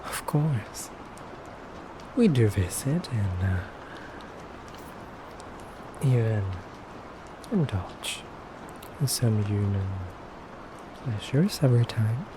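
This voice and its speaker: A man playing a courteous yet menacing effeminate vampire captor in an ASMR roleplay, soft-spoken and deliberate with frequent pauses. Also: fairly low pitch, sing-song, noisy background, exaggerated voice. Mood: calm, solemn. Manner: soft-spoken, slow, deliberate, pausing often.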